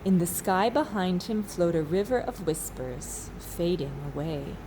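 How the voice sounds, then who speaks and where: Slow-paced woman reading a children's story. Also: on the low side, expressive, background noise. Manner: slow, dramatic pauses, asks listeners questions. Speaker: teacher reading a story aloud. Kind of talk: expressive children's storybook read-aloud.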